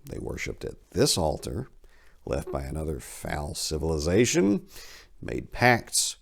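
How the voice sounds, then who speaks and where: A man voicing an ancient fallen angel who speaks in a measured, earnest, solemn way, read aloud in a study with a lapel mic. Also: a bass voice, big pitch swings, vocal fry, heavily acted. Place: study lined with bookshelves.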